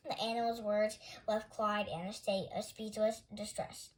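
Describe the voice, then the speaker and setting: A woman reading a children's picture book aloud as expressive storytime narration. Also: medium pitch, normal speed, a little hiss or hum. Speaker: storytime reader.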